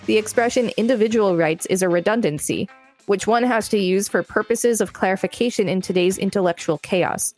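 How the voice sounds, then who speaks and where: A woman's voice: mid-range voice, darkish tone. A woman co-hosting a podcast, reading an encyclopedia article aloud with dry, wry quips. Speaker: podcast co-host.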